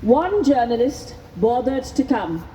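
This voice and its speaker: A woman reading a speech aloud at an outdoor vigil, speaking into a microphone in a measured, deliberate way. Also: a little high, big pitch swings, dark tone. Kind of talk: public speech read aloud at a rally. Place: outdoors at a vigil by prison gates. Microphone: handheld microphone. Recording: noisy outdoor PA with long echo.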